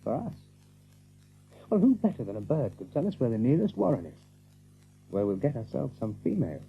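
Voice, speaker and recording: A man's voice: medium pitch, sing-song, a little fast, vocal fry, narrow, tinny audio, a little hiss or hum. A man playing an earnest, cautious rabbit leader who questions things, in an audiobook drama. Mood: scheming, wary. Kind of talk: audiobook drama character acting.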